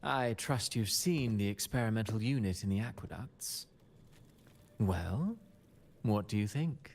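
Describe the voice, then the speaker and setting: An actor voicing a smug, scheming playwright villain in a video game, eloquent and morbidly poetic, savoring the words theatrically. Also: male voice, fairly low pitch, expressive, a little slow, darkish tone. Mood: smug, intrigued. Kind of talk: dramatic game villain dialogue.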